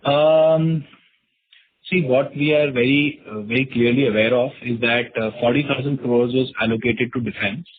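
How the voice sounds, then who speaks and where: A man with an Indian accent answering as a company executive on an earnings call, measured and halting, pausing often between phrases. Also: mid-range voice, fairly even intonation. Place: conference call. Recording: narrow, tinny conference phone line.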